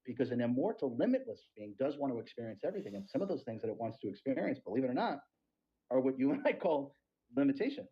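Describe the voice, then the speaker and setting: A man with a husky, slightly breathy voice speaks in an earnest, emphatic way. He is a podcast host teaching about spirituality, and his voice sits at a middle pitch. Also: muffled recording.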